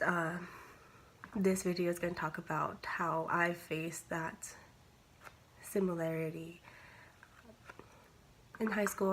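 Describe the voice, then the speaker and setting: A young woman with a slightly raspy voice from a cold, talking candidly and casually in a personal vlog. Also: on the low side. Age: young adult. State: recovering from a cold. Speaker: vlogger. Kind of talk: casual personal talk to camera. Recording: clear background noise, homemade vlog.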